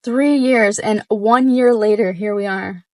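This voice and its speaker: A woman's voice: medium pitch, unhurried, rough recording. A woman giving casual, informal reaction commentary, exclaiming and repeating herself for emphasis. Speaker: reaction video host.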